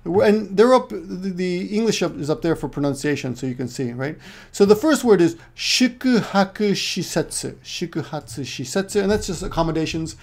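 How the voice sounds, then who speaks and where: A man's voice: high voice, animated, normal speed, creaky. A middle-aged man from Peru who lives in Japan, giving a casual online Japanese lesson in a rambling way, correcting himself often and adding joking asides. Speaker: Japanese language teacher.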